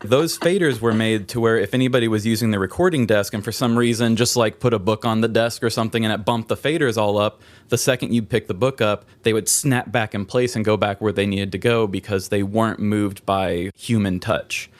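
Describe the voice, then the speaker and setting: A man's voice: on the low side, steady tone, brisk, hardly any pauses. A man co-hosting a podcast, telling stories in casual conversation. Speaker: podcast co-host. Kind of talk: casual storytelling chat.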